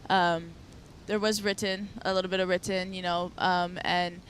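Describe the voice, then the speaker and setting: A woman's voice: on the low side, level tone, moderate pace, a little hiss or hum. A young woman from Hawaii, a native speaker of Hawaiian, answering interview questions humbly, with many fillers. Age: young adult. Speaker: surfer being interviewed. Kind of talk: post-heat interview.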